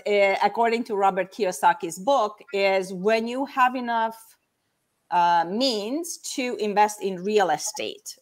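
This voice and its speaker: Hungarian-accented woman explaining step by step. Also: medium pitch. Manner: explanatory, lays things out step by step. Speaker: guest. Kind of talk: casual interview chat.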